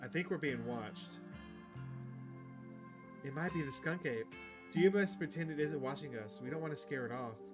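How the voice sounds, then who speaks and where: A man's voice: fairly high pitch, moderate pace, long pauses, music in the background, close to an everyday voice. Man reading as chatty outdoorsy woman cryptid hunter. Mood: hushed, playful. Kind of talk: visual novel dialogue read aloud.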